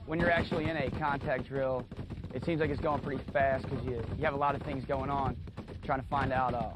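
Flat-toned man over loud gunfire. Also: mid-range voice, a little fast. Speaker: military team member interviewed. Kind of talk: unscripted, casual explanation. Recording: loud gunfire, very noisy film sound.

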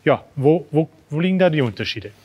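A man in his thirties moderating an expert interview, polite and slightly halting as he frames his questions. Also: a little high, animated, warm tone, slightly rough. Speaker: host, moderator. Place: large dark indoor studio showroom.

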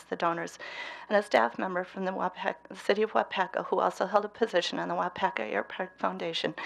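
A woman with a hoarse voice from a cold, reading a formal prepared statement to a city council in an Upper Midwest American accent. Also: fairly low pitch, poor audio. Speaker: public commenter, mother of two speakers.

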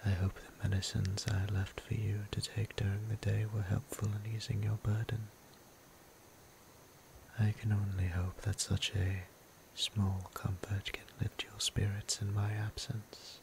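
Man acting a hushed, low-key, gentle vampire priest. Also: completely flat delivery. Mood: tender, courtly. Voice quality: breathy, hushed, half-whispered. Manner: formal, archaic, tender, unhurried phrasing. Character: gentle, courtly male vampire priest tending a patient. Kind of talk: ASMR roleplay, soft-spoken and whispered. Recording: clear background noise and ambience.

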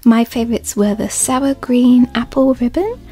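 A woman reading a sponsor segment in a soft, partly whispered ASMR style. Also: fairly high pitch, normal speed, husky. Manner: gentle, partly whispered, with soft tasting sounds. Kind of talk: soft-spoken ASMR ad read.

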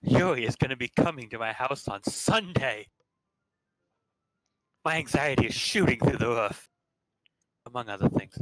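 A man with a mid-range voice reads story narration aloud. His delivery is expressive and playful with casual ad-libs, and the recording is dry. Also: medium pitch, dry recording, low-quality recording. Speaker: narrator in a staged reading. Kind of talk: casual dramatic read-aloud of visual novel narration.

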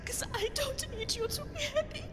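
High-voiced, emotional young woman. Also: moderate pace, long reverb tail, noisy background. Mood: tearfully defiant. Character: lovelorn, tearful but defiant young German woman. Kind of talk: video game cutscene acting.

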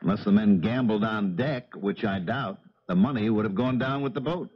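Man playing low-voiced, no-nonsense detective. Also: moderate pace, close to an everyday voice. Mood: matter-of-fact. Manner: clipped, matter-of-fact. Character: businesslike, no-nonsense police detective lieutenant. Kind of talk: 1970s radio drama acting. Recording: muffled 1970s radio recording.

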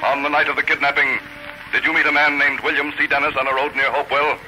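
An actor playing a stern, accusing interrogator who declaims evidence in a 1930s radio news dramatization. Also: male voice, on the high side, level tone, fast, close to an everyday voice. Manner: declamatory, prosecutorial. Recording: noisy old 1930s radio recording.

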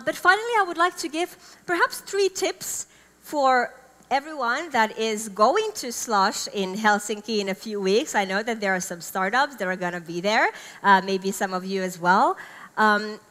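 A woman's voice: a little high, expressive, normal speed, sharp tone. Upbeat Finnish woman speaking on stage. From Finland. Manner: enthusiastic, upbeat, gestures while talking. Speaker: conference speaker. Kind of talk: keynote speech. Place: large conference stage. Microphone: headset mic. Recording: long hall reverb.

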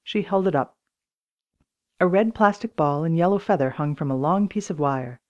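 A woman's voice: low-pitched, moderate pace, darkish tone, highs cut off. A woman reading scripted children's story narration as an audiobook reader.

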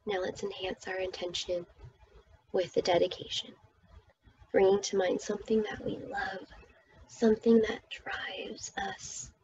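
A woman leading a guided meditation as its teacher, speaking soothingly and often dropping toward a breathy whisper. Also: mid-range voice, fairly even intonation, measured pace, a little mellow, lo-fi sound, a little hiss or hum.

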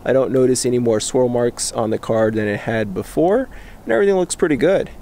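A man's voice: medium pitch, fairly quick, vocal fry, a little hiss or hum. A man in his thirties hosting a casual how-to video about washing a car, talking plainly and practically in his garage. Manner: relaxed, plain-spoken, practical. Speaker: car care channel host. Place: home garage and parking lot.

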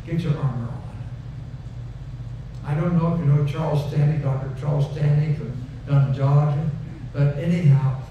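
A man's voice: fairly high pitch, warm tone. An older man preaching a sermon from a pulpit in a folksy, conversational way, repeating phrases for emphasis, then leading a prayer. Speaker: pastor. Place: wood-paneled church stage, indoors. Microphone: headset mic at a pulpit. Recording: echoey room, distant and dull, noisy.

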